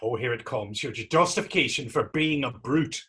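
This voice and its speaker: A man's voice: mid-range voice, normal speed, pushed and bright, slightly rough. A man in a readers theater drama playing a defiant young Irish street vagrant, taunting and sarcastic, with an Irish accent. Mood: mocking. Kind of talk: readers theater stage acting.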